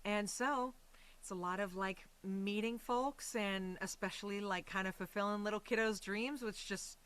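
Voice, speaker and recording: Bright-voiced American woman chatting casually. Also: mid-range voice, steady tone, moderate pace, smooth. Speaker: guest, voice and stage actress. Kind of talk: casual interview chat.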